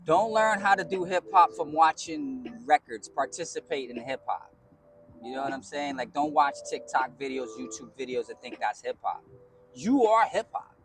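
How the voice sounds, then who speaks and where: Animated New York man, rather high. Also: normal speed, music in the background. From the Bronx, New York City. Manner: animated, rhyming and half-singing, emphatic. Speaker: guest DJ and MC. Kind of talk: casual interview.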